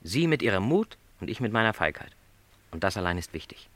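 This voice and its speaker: A man's voice: on the low side, very animated, a little fast, a bit bright, no echo. An actor playing a lonely middle-aged travelling salesman in a literary radio play, speaking with courteous, reflective resignation. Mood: resigned.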